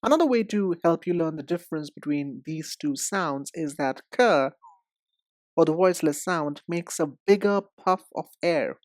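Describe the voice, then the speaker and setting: A woman giving an instructional pronunciation lesson, speaking deliberately and enunciating with emphasis and pauses. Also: sits low, animated, darkish tone, dry recording, clean studio sound. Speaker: English pronunciation teacher. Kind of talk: instructional lesson, demonstrating sounds.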